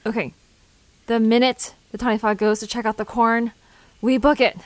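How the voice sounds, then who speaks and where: A woman's voice: on the high side, little pitch movement, moderate pace, no room sound, lightly acted. A woman acting an anxious, pleading young woman who leads her friends in an audio drama. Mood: urgent, organizing.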